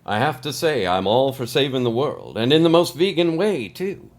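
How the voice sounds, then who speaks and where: A man's voice: mid-range voice, big pitch swings, normal speed. A man hosting a story podcast, addressing listeners in a playful, folksy scripted sign-off style. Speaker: podcast host.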